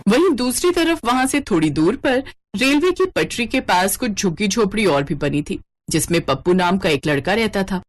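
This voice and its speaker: A woman's voice: mid-range voice, animated, normal speed. A woman reading scripted narration for a cartoon story.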